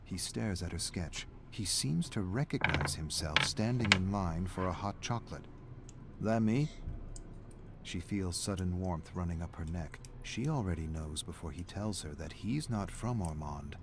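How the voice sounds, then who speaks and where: A man's voice: deep voice, expressive, unhurried. A man reading a scripted, dramatic story narration as the narrator. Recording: background noise under game narration.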